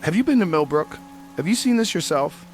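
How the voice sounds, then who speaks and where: A man's voice: on the high side, lively intonation, brisk, faint music behind, close to an everyday voice. A man acting a curious, skeptical talk show host in an audio drama, probing in a conversational way.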